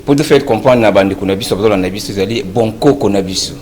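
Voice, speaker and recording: A man's voice: lowish voice, very fast, a little mellow. A man appearing as a guest in a studio chat, patiently explaining his culture segment, with frequent hesitations.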